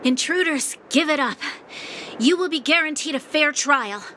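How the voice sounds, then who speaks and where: An actress playing a stern, proud young military commander in a video game, formal and commanding. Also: female voice, sits high, normal speed, sharp tone, slight background noise. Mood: firm. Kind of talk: English game voice acting.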